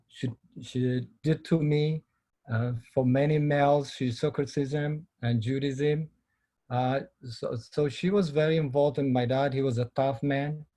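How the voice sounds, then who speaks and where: Man from Ethiopia, dark flat voice. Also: mid-range voice. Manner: halting non-native English with many fillers. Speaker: filmmaker and panel speaker. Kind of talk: personal talk on a video call. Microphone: video call.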